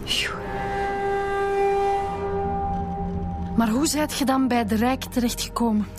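Actress as Flemish policewoman, higher-pitched, loud music. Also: brisk, close to an everyday voice. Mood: probing, weary. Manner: direct, questioning, informal. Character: composed, probing female police inspector. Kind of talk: radio drama acting. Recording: prominent music behind the voice.

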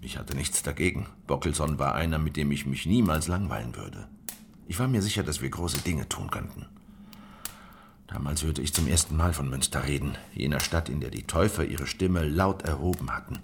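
An actor playing a young Anabaptist revolutionary, narrating a radio drama in a reflective, measured way. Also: male voice, extremely low pitch, animated, gravelly, a little hiss or hum, full character voice. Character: young male Anabaptist revolutionary recalling his journey. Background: music.

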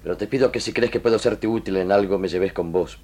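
An earnest male lieutenant, played by an actor in an Argentine radio drama. His fairly low voice has an Argentine accent and a formal, deliberate sincerity. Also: a little mellow, close to an everyday voice. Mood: earnest, respectful. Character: earnest, honourable army lieutenant.

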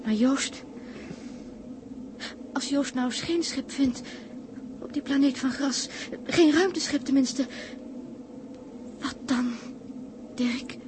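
A woman acting an anxious, questioning crew member of a space expedition in a 1960s radio drama, with a husky, breathy voice. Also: sits high, slow pace, long pauses, background noise. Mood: worried, questioning. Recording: old 1960s radio recording.

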